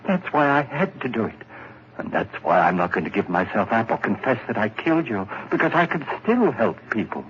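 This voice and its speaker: An actor playing a nervous, guilt-ridden young murderer in a 1940s radio drama, stammering and quick to panic. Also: male voice, medium pitch, big pitch swings, lightly acted. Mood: brooding. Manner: jittery, stammering, rising to panic. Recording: dull old radio recording with hiss.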